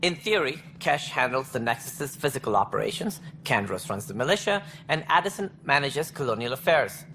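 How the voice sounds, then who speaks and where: An actor playing an officious alien station director in a video game, speaking precisely and formally with a wry, earnest streak. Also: male voice, medium pitch, big pitch swings, a little fast, few pauses, fairly bright tone, slight background noise. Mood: wry, exasperated. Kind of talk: video game dialogue acting.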